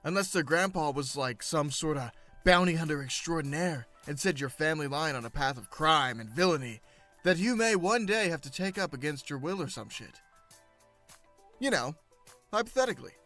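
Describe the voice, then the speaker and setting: Woman as a flippant, low-voiced young rogue. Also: animated, moderate pace, a bit bright, soft background music. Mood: dryly evasive. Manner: casual, flippant, dry. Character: laid-back, roguish young sword-wielding student with shady past. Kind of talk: audio drama character banter.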